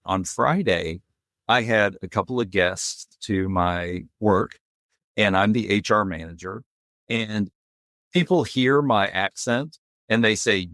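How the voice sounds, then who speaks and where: A man's voice: lowish voice, fairly bright tone, no room sound. A middle-aged man hosting a podcast, reflectively telling a personal story in casual conversation. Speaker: podcast host.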